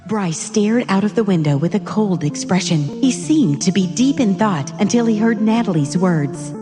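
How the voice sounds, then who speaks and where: Female narrator, medium pitch, dramatic storytelling, scripted, background music. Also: animated, moderate pace, in a large hall.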